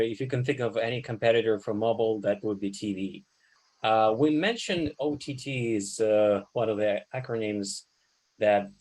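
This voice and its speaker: A man's voice: on the low side, darkish tone, rough recording. A man hosting a podcast, introducing the topic and interviewing a guest. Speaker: podcast host.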